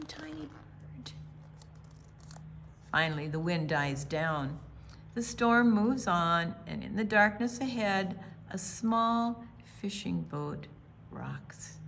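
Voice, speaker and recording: Slow, expressive American woman reading a storybook. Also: mid-range voice, gravelly, a little hiss or hum. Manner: dramatic pauses, hushed asides, emphatic delivery for young children. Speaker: children's storytime reader at a library. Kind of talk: read-aloud picture book storytelling.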